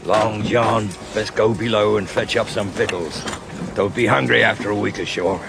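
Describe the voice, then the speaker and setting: A man playing a gruff, greedy pirate first mate in an audio drama. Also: on the low side, fairly even intonation, moderate pace. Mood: commanding.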